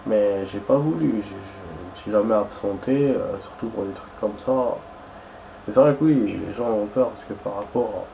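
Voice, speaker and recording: A man's voice: fairly low pitch, moderate pace, vocal fry, mellow, slight background noise, low-quality recording. Young man rambling over his gameplay. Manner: rambling, hesitant, many fillers and restarts. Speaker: gaming streamer. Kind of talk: casual chat while playing.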